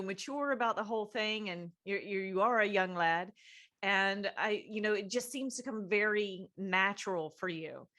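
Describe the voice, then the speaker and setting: Chatty woman host, bright voice. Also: medium pitch. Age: middle-aged. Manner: chatty, enthusiastic, rambling. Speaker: podcast co-host. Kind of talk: casual interview chat.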